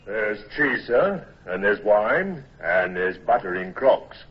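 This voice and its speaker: An actor playing a deferential, fussing naval orderly in an old radio drama, respectful and a little hesitant. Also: male voice, fairly low pitch, sing-song, slow pace, background noise. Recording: dull old radio recording.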